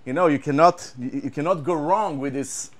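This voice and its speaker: Israeli-accented man, halting casual talk. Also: on the high side. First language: Hebrew. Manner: halting, repeats words, many fillers. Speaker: guitar tech. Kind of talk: casual show-and-tell chat.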